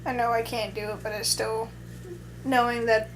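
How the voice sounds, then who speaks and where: Hesitant, rambling, higher-voiced young woman. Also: flat delivery, normal speed, slight background noise, poor audio. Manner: hesitant, rambling, many restarts. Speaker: vlogger. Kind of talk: casual chat.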